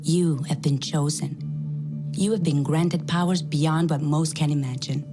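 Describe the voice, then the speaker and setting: An actress playing a cool, authoritative recruiter for a secret society in a video game, speaking in a measured, dry, self-assured way. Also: female voice, low voice, fairly even intonation, music in the background. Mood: persuasive. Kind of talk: scripted video game cutscene acting.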